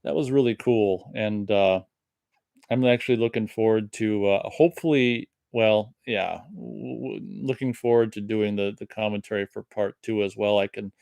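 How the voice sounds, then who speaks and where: A man in his thirties giving a rambling, reflective solo movie commentary, his voice a little raspy from long talking. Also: lowish voice, moderate pace, darkish tone, dry recording, studio quality. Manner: rambling, reflective, many restarts.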